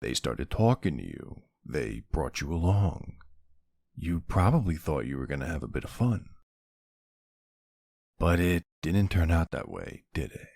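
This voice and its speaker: A man playing a centuries-old vampire hunter in an ASMR roleplay, gruff, wry and suspicious, questioning someone in a brusque, intimate way. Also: very deep voice, sing-song, a little mellow, no room sound, clean studio sound, heavily acted. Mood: probing, guarded. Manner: brusque, wry, slow interrogating delivery with pauses. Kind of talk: ASMR roleplay, intimate one-sided dialogue.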